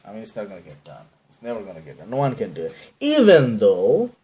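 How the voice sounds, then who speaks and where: A man's voice: on the low side, wildly swooping pitch, quick pace, dark tone. A man from Bangladesh with a Bangladeshi accent, hosting a podcast in a rambling, self-deprecating monologue that keeps trailing off and restarting. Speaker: podcast host.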